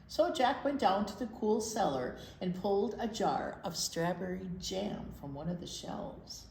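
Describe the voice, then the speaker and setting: Animated woman reading aloud, unhurried. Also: mid-range voice. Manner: explains simply, asks young listeners questions. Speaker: children's library storytime reader. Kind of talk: expressive picture-book read-aloud with asides to children. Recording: roomy echo with clear background noise.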